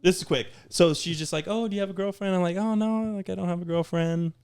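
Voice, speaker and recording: A man's voice: high voice, fast talker, clear, resonant tone. A man in his late twenties, a podcast co-host telling stories in casual chat and acting out what people said. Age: 20s. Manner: animated storyteller, acts out quotes.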